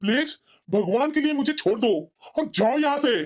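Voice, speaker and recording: A woman's voice: sits high, lively intonation, normal speed, highs cut off. A woman voicing a resentful, weary husband and father in a cartoon family drama, with a complaining manner. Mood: bitter, irritated. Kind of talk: Hindi moral-story cartoon dialogue.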